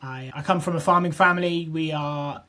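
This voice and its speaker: A man's voice: fairly high pitch, fairly even intonation, moderate pace, pushed and bright. A young man from southwest England, a podcast guest talking casually and restarting his sentences often. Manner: chatty, restarts often, repeats words. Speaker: podcast guest, business co-founder. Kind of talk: casual interview chat.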